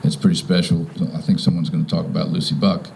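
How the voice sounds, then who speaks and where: A mature man with a very deep and dark, relaxed voice. He gives an informal welcome speech, often stopping and rephrasing mid-thought. There is some background noise around him outdoors. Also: fast, gravelly. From Virginia, United States. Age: 50s or older. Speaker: property owner welcoming guests. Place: outdoors in front of a house.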